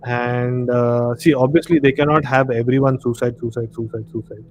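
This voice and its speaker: A man's voice: mid-range voice, steady tone, normal speed, darkish tone, soft background music. A man with an Indian accent hosting a casual live discussion, rambling a little and repeating words for emphasis. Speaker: live stream host. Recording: clear background noise, room ambience.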